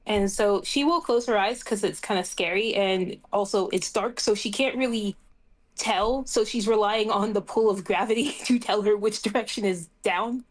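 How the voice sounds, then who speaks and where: A woman with a medium-pitched voice plays a tabletop game. She talks casually and makes up her character's actions as she goes, thinking aloud in an informal way. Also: normal speed. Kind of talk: casual improvised roleplay chat.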